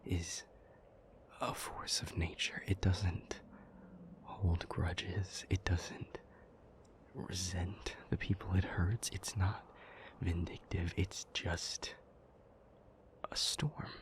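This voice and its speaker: A man playing a troubled, powerful demon in an intimate audio roleplay, speaking breathily in deliberate, halting phrases. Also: deep voice, flat delivery. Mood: uneasy, confiding. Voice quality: breathy, half-whispered. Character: troubled, powerful male demon confiding gravely in a lover.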